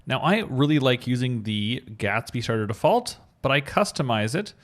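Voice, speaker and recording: A man's voice: mid-range voice, big pitch swings, normal speed. A man giving an upbeat, informal screencast coding tutorial, explaining things step by step. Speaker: web developer giving a tutorial.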